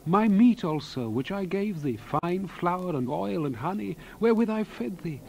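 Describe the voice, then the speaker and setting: An actor playing a solemn prophet who delivers God's reproach in a dramatized scripture reading, with grave declamatory delivery. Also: male voice, sits high, lively intonation, moderate pace, gravelly, warm tone, slight background noise, rough recording. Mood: rising anger. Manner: grave, declamatory, archaic diction.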